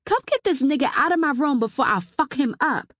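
A feisty young woman , as a narrator plays her, speaks up loudly and defiantly. She is fed up and sharp-tongued, in a dry, close audiobook recording. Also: on the high side, expressive, normal speed, no echo, voice pushed up. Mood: angry, defiant. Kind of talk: audiobook character dialogue.

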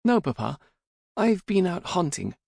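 Impudent tomboy daughter, twenty, played by a male narrator, raised pitch, playful defiance, storybook drama. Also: big pitch swings, measured pace, gravelly, a little mellow, no echo, clean studio sound, heavily acted. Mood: defiant, proud. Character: spirited, impudent twenty-year-old daughter, mischievous tomboy.

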